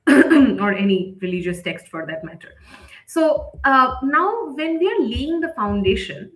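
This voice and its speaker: High-pitched woman presenter in an echoey room. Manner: explanatory, often checking in with "right?". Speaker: editor leading a writing session. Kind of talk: live instructional presentation. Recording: roomy, echoing sound.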